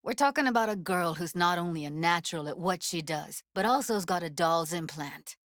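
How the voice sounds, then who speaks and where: A woman's voice: on the low side, animated, a little fast, a bit bright, dry recording, studio quality. A woman voicing a streetwise, guarded young techie in a video game, blunt and slangy, with an American accent. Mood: focused, dry. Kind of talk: naturalistic video game dialogue.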